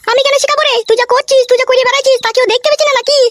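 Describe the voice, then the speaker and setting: A high-pitched scolding cartoon wife. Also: female voice, level tone, racing, slight background noise. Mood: bitter scolding. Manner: bossy, sharp-tongued. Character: scolding, bitter, scheming village wife and mother. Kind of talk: comic cartoon dialogue.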